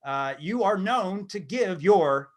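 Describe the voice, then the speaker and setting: A man in his thirties co-hosting a podcast in casual chat, rambling and halting as he talks. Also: sits high, expressive. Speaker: podcast co-host.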